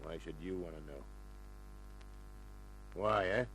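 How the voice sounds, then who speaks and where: An actor playing a big, surly hired gunman, quick to threaten, in an old-time radio crime drama. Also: low voice, a bit bright. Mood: suspicious. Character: big, menacing, thick-headed male hired gunman. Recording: humming, noisy old radio recording.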